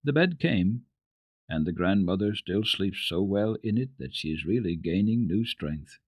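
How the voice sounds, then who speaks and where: A man reading classic children's literature aloud as a dramatic radio storyteller, with a deliberate, expressive delivery. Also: sits low, husky, no echo, clean studio sound.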